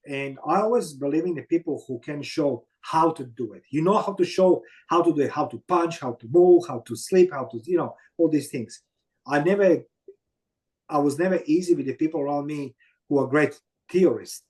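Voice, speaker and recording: A man in his fifties from Croatia with a Croatian accent, telling his story as an interview guest in halting non-native English full of fillers. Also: mid-range voice, a little mellow, rough recording. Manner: halting, many fillers and "you know what I mean".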